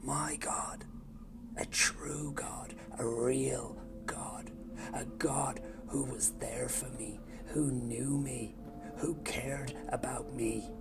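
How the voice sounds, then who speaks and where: A woman voicing an embittered orphan turned devoted plague-god cultist in an audio drama, speaking intensely and often in a hushed whisper. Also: extremely low pitch, steady tone, full character voice. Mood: reverent whisper. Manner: intense, brooding, slow and deliberate. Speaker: audio drama narrator-character. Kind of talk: first-person dramatic monologue. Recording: loud music score underneath. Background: loud music.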